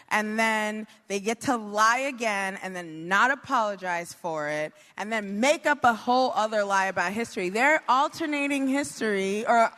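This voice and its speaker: An American woman ranting informally on a live political panel, blunt, exasperated and quick to swear. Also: a little high, a bit bright. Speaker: comedian panelist. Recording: echoey hall, live stage show.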